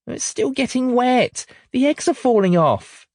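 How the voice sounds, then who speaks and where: A man's voice: very high voice, sing-song, measured pace, darkish tone, no echo, studio quality, voice pushed up, exaggerated voice. A man reading aloud and voicing a young girl who is anxious and apologetic. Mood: pleading. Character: little girl, anxious and sorry.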